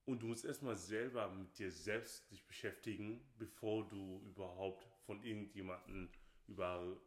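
Brief: man, lowish voice, opinionated podcast co-host, repeats phrases, echoey room. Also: steady tone, moderate pace. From Nigeria. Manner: emphatic, repeats phrases, often says "okay, hey". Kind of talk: casual opinionated chat. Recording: roomy echo.

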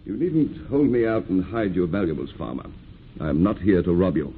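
Villainous army captain, booming low register, curt and commanding, old radio drama with faint noise. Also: male voice, big pitch swings, moderate pace. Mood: curt, haughty. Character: haughty, menacing British army captain. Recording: muffled, noisy old radio recording.

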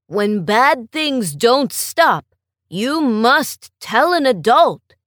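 A woman's voice: a little high, sing-song, very slow, a bit bright, dry recording, clean studio sound, exaggerated voice. A woman voicing a stern but caring male school principal who speaks firmly and reassuringly. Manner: firm, reassuring.

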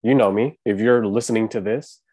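A man hosting a podcast in casual conversation, with a calm, laid-back, monotone delivery. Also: on the low side, a little fast, no echo, studio quality. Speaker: podcast host.

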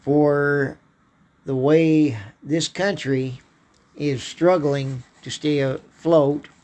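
A man with a hoarse voice, hosting a political commentary podcast in a slow, halting way, often clearing his throat. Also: fairly high pitch, fairly even intonation, a little mellow. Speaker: podcast host.

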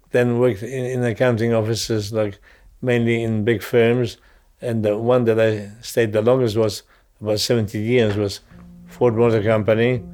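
Mellow-voiced older man. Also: mid-range voice. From Poland. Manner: plain, halting, with brief agreeing asides. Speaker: interviewee. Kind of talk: casual personal recollection.

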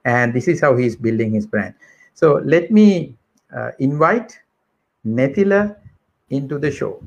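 Measured male podcast host. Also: medium pitch, animated, gravelly. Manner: measured, deliberate, with pauses and "uh" fillers. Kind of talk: formal show introduction.

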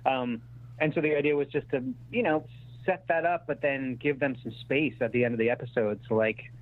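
Screenwriter guest, male, thirties. Halting, self-deprecating, conversational; narrow telephone-band audio. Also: medium pitch, a little hiss or hum. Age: 30s. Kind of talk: casual interview chat. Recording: thin phone-like radio-style sound.

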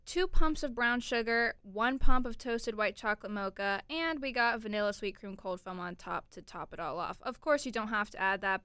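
A woman's voice: medium pitch, a bit bright, smooth, no room sound. A twenty-year-old woman from Florida hosting her own podcast in a chatty, upbeat way, often saying "like" and "you know". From Orlando, Florida, USA. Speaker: podcast host. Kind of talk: casual solo podcast chat.

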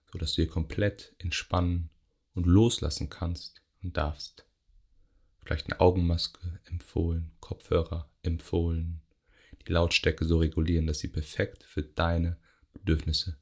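Male meditation guide: low, even pitch, soft husky tone, gentle phrasing with pauses. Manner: gentle, unhurried, with long pauses between short phrases. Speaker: yoga nidra meditation guide. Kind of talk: guided meditation, soothing relaxation instruction.

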